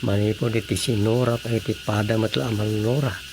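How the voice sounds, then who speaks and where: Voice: medium pitch, fairly even intonation, fairly quick, background noise, lightly acted. An actor with an Ilocano accent playing a musing, muttering reader in a comic radio drama, rambling with sighs and interjections. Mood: puzzled musing. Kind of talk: radio drama comedy monologue.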